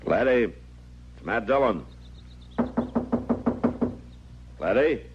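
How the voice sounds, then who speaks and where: A man's voice: mid-range voice, expressive, normal speed, close to an everyday voice. A man playing a stern, protective frontier marshal in an old-time radio western, speaking firmly and plainly. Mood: firm concern. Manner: firm, plainspoken, commanding. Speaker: radio drama lead actor. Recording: dull, noisy vintage radio recording, hum.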